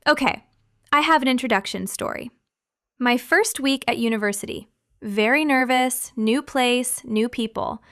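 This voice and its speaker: A young woman from California co-hosting an English-learning podcast, speaking clearly like a teacher and laughing often. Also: on the high side, moderate pace, fairly bright tone, dry recording, clean studio sound. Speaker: podcast co-host.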